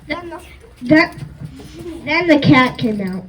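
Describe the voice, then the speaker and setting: Child narrator reading a folk tale, high voice, picked up from a distance with background noise. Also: animated, takes it slowly, lo-fi sound. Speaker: pupil narrator in a readers' theater. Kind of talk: scripted story narration, reading aloud.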